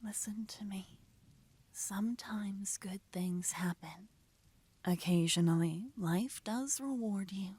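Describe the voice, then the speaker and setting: Breathy, whispery woman acting a devoted fantasy lover. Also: medium pitch, mellow, no room sound. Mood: tender, soothing. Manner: slow, intimate, soothing delivery. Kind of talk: romantic ASMR roleplay. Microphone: close, dry microphone.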